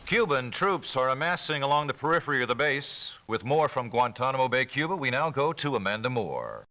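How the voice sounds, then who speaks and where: A man's voice: medium pitch, sing-song, fairly quick, sharp tone. A man playing a solemn television news anchor in a game, reading special reports formally and emphatically. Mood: grave, formal.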